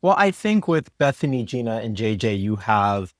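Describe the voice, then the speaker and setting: A man's voice: on the low side, big pitch swings, moderate pace, no room sound, clean studio sound. A man chatting casually as an interview guest, explaining things as a story editor would.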